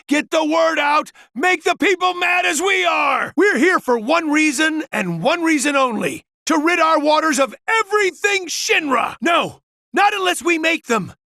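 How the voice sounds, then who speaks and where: Casting: fiery rebel leader for a game, very high-pitched shouted oratory with a gravelly edge. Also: male voice, expressive, normal speed, dry recording, clean studio sound, full character voice. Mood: fiery, defiant. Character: fiery male resistance leader rousing his rebels. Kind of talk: rousing rally speech.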